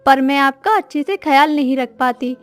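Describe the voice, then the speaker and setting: A woman's voice: high-pitched, moderate pace, no echo, close to an everyday voice. A woman voicing a discontented, scheming female crow who is a wife, with a dramatic, complaining manner, in a children's cartoon story. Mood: coaxing. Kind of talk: children's animated story acting.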